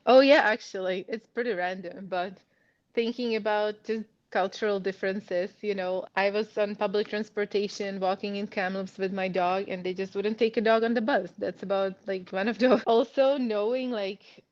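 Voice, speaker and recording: A woman's voice: medium pitch, steady tone, moderate pace, a little mellow, muffled recording. A woman in her thirties with a Czech accent, chatting as an interview guest, laughing often and full of "like" and "you know". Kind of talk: casual interview.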